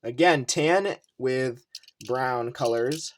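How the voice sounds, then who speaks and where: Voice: mid-range voice, drawn-out words. Casual rambling unboxing host, noisy recording. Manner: rambling, thinking aloud. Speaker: toy car collector and unboxing video host. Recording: noisy room with clicking handling sounds.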